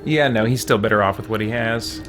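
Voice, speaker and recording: A man's voice: on the low side, a little fast. A man hosting a let's play, commenting on a video game with droll, mock-formal role-play asides. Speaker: gaming video host. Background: video game music and sounds.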